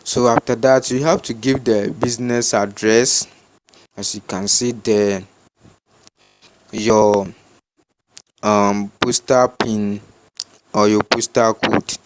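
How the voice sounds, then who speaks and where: A man with a Ghanaian accent gives unscripted step-by-step instructions in a halting, repetitive way, as a tutorial host. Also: fairly low pitch, steady tone, a little hiss or hum.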